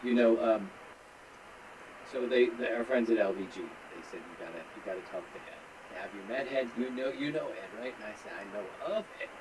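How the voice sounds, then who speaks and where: A man with a fairly low voice conducts a conversational interview. He circles toward his questions with a hesitant, deferential manner. Clear noise runs through the radio recording. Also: normal speed. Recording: clearly noisy radio link.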